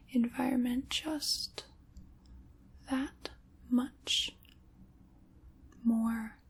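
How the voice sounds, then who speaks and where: A woman playing a sinister, chaos-loving dark witch in a whispered, theatrical ASMR roleplay, soft-spoken and close to the microphone. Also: a little high, gravelly, husky.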